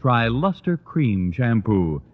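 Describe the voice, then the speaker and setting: Thin phone-like old recording, male announcer, lively low voice, emphatic polished sales pitch. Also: slow pace. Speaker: commercial announcer. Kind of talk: 1940s radio advertising pitch. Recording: thin phone-like old radio sound, long reverb.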